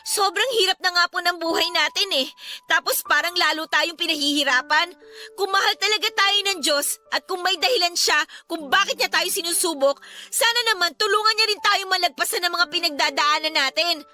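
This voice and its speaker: Very high-voiced, frustrated teenage daughter. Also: female voice, a little fast, pushed and bright, soft background music, heavily acted. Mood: frustrated, weary. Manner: pleading, questioning, respectful to her father. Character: distressed teenage daughter of a poor flooded family. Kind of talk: Tagalog radio drama acting.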